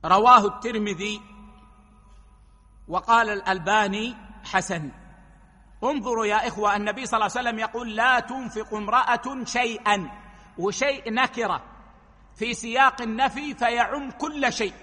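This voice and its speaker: High, bright-voiced preacher in a hall. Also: male voice, moderate pace, long pauses. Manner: explains rulings step by step, quoting hadith. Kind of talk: religious lesson.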